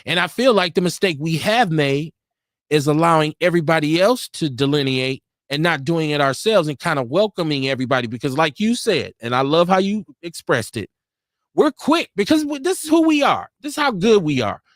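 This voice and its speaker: Emphatic American man, higher-pitched, dry sound. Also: big pitch swings, brisk, no echo. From United States. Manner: emphatic, repeats phrases for effect. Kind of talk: casual opinionated discussion.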